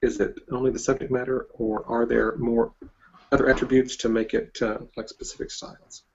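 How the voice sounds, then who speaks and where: A man interviews in a casual, conversational way. His voice is middling in pitch with a clearly creaky, gravelly edge. The audio is a bit dull with faint noise, like an internet call. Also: level tone, fairly quick. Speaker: interviewer, host.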